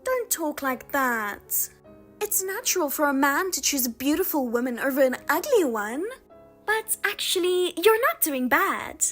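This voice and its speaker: Woman doing a spiteful younger sister, high sing-song voice, lively swooping pitch, smug and mocking. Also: soft background music, full character voice. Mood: taunting. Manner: mocking, self-satisfied, drawn-out teasing. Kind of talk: narrated drama voice-over.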